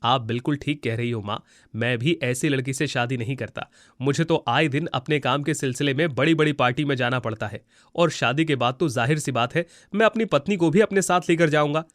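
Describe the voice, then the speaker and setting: A man's voice: medium pitch, fairly quick, no echo, clean studio sound, close to an everyday voice. A man voicing a status-conscious, dismissive young suitor in a moral story cartoon.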